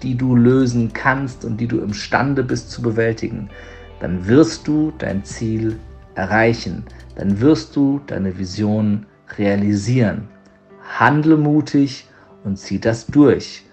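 A man giving an earnest, emphatic motivational talk as a coach, addressing the listener directly with deliberate phrasing. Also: medium pitch, gravelly, darkish tone. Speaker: motivational coach, podcast guest. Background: background music.

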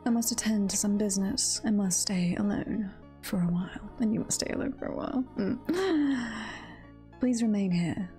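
A woman voicing a gentle, protective motherly monster in a video game, half-whispered, with careful formal phrasing. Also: mid-range voice, moderate pace, soft background music, natural voice. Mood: calm, reassuring.

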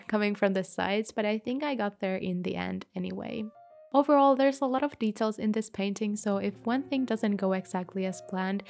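Calm woman narrating a craft video. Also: medium pitch. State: slightly sick from a mild flu. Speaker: bullet journal creator.

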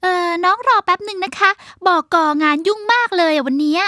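A woman's voice: very high-pitched, brisk, bright, forceful tone, a little hiss or hum. A woman playing a polite, chatty young office receptionist in a cartoon. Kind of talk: cartoon voice acting.

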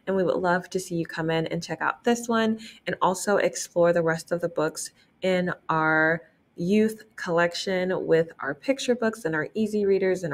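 A young woman librarian reading a picture book aloud for children, enthusiastic and gently expressive, stopping to remark on the pictures. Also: fairly low pitch. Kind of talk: storytime read-aloud.

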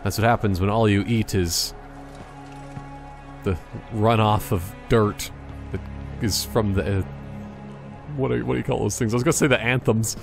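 A man's voice: on the low side, steady tone, moderate pace, gravelly, long pauses, over music. A man giving casual, joking gameplay commentary, rambling in a silly, mock-serious way.

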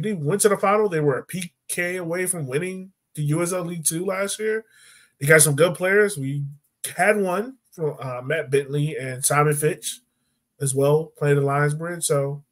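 A man's voice: on the high side, a bit bright. A young man co-hosting a soccer podcast in casual, slangy fan chat over a video call. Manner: slangy, informal. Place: indoor room at home, on a video call.

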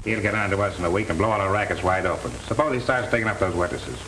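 Brief: cynical, nervy American crook, low voice with lively swings, 1930s crime film. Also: male voice, rapid-fire. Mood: sour, uneasy. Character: cynical, uneasy male racketeer henchman. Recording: echoey, hissy 1930s film soundtrack.

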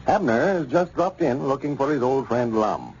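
A man's voice: mid-range voice, lively intonation, normal speed, a little mellow. An American man announcing from a script in polished, emphatic 1930s radio style. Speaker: radio announcer and sponsor pitchman. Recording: muffled, noisy old radio recording.

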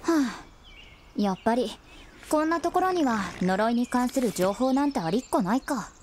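Woman as a high-pitched cheeky boy companion. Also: expressive, normal speed, a little mellow, slight background noise, lightly acted. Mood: disappointed, wistful. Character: young boy companion, cheeky, caring, quick to fret. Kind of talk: Japanese voice drama acting.

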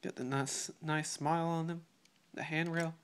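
A teenage boy chatting eagerly through a toy unboxing while getting over a cold, often clearing his throat, filmed on a phone at home. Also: on the high side, flat delivery, normal speed. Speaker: toy reviewer. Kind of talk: casual unboxing review. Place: home room with patterned rug. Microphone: phone camera held close. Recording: clear crinkling packaging noise. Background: loud crinkling plastic packaging.

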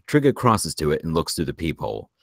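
Casting: male narrator, extremely deep voice, reads screenplay action lines, very dry recording. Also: wildly swooping pitch, fast, vocal fry, a bit bright, no echo. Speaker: narrator reading stage directions. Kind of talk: scripted narration for a table read.

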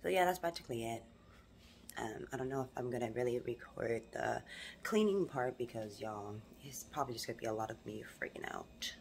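Low-voiced young woman vlogging at home. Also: brisk, gravelly, background noise. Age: young adult. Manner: animated, emphatic motivational talk. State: hoarse from rehearsals. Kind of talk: casual vlog talk to camera. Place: home living room, then car. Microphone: phone camera held close.

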